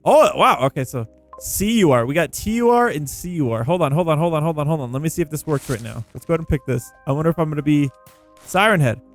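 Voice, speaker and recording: A man giving casual gameplay commentary, thinking out loud in an informal way. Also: on the high side, animated, fast talker. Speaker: gaming video creator. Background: background music.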